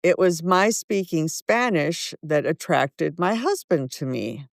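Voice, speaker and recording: Slow, gravelly woman in her seventies. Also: low-pitched, expressive, no room sound, clean studio sound. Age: 70s. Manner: slow, thoughtful, warm anecdotes. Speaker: guest author. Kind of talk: reflective interview storytelling.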